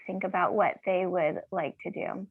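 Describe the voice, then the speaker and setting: A woman's voice: on the low side, steady tone, normal speed, breathy edge, no room sound. A woman acting as moderator of an online class, speaking informally and practically over a call. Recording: narrow phone-like call audio.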